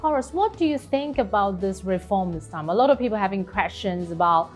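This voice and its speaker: A woman's voice: mid-range voice, animated, brisk. Female talk-show host, middle register, conversational questioning over faint music. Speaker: host, interviewer. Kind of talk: casual interview.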